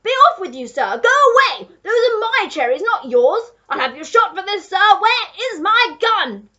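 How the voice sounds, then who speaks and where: An irascible, pompous old duke from a storybook, acted out by a woman. He shouts and splutters in a raised, high pitch that swings widely. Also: very animated, normal speed, lo-fi sound, full character voice. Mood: furious. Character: blustering, irascible oldish English male duke.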